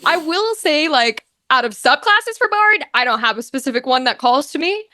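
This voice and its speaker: A woman speaking as an interview guest in casual, animated chat, telling stories and acting out bits of dialogue. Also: sits high, normal speed, dry recording, studio quality. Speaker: guest, actor and streamer.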